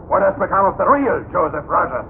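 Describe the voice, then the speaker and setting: An actor playing the cold, formal and imperious boss of a secret criminal society in an old-time radio drama. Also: male voice, high-pitched, lively intonation, fairly quick. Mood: interrogating, sardonic. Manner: formal, imperious, coldly sarcastic. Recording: noisy, narrow-band vintage 1950s radio.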